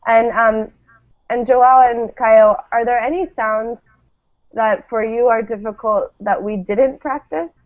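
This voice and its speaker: Slow, thin-sounding woman teaching pronunciation. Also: on the high side, steady tone. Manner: encouraging, enunciates model words slowly. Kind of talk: live online lesson, drilling word pairs. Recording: thin phone-like call audio.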